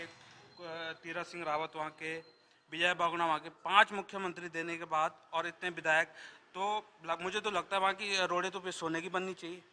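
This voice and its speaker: A man's voice: a little high, background noise. A man making an emphatic, argumentative political case point by point, as an opposition supporter. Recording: busy crowd around him.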